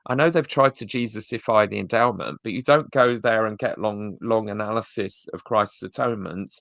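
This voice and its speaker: A man's voice: fairly low pitch, moderate pace, gravelly, fairly bright tone, no echo. A man co-hosting a video-call show, giving blunt, sardonic commentary on church talks. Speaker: co-host reviewing church talks. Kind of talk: casual critical commentary.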